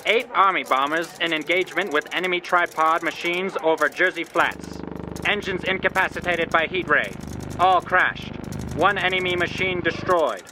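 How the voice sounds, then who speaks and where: A man's voice: fairly high pitch, normal speed, lightly acted. A man playing an urgent military radio operator in an audio drama, relaying clipped reports. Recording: clear noise behind the voice.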